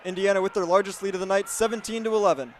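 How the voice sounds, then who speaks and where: Man in his twenties, American accent, slightly high pitch, sports reporting and commentary. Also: fairly even intonation, rapid-fire, background noise. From United States. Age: 20s. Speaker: student sports reporter and play-by-play announcer. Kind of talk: sports reporting, highlight reads and play-by-play commentary.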